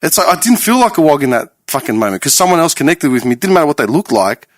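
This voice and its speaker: A man's voice: fairly high pitch, big pitch swings, fast talker, no echo, studio quality. A man, co-hosting a casual podcast chat, talking emphatically and swearing often. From Australia. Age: late 30s. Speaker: podcast co-host.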